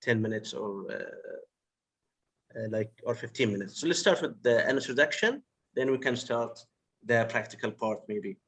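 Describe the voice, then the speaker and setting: Halting man with a husky voice. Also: medium pitch, a bit bright. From Bahrain. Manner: halting, repeats words, many uhs. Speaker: host of online workshop. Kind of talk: casual video-call chat. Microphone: video call.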